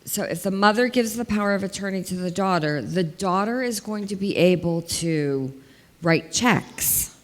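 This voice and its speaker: A woman's voice: mid-range voice, lively intonation, moderate pace. A woman in her fifties with a big voice, an elder law attorney giving a plain-spoken community talk and explaining step by step with examples. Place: large hall, indoors. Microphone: headset mic. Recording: long hall reverb.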